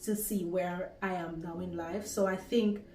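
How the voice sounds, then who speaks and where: A woman's voice: fairly low pitch, steady tone, unhurried, a little mellow, far from the mic. A Jamaican woman in her twenties talking casually about her life while she cooks at home, chatty and rambling with small restarts. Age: 20s. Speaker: small YouTuber. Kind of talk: casual talk while cooking. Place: home kitchen. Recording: roomy, from off the mic, faint music.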